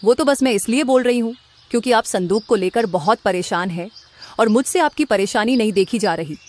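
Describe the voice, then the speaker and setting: Woman acting a questioning, high-pitched cartoon wife. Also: brisk, darkish tone, lightly acted. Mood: placating. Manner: questioning, protesting. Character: merchant's wife, questioning and placating. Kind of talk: Hindi cartoon story voice acting.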